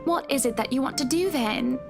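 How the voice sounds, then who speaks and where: An actress playing a wealthy, polite young woman in a visual novel, curious and gently teasing. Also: sits high, fairly quick, vocal fry, music in the background. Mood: concerned, curious.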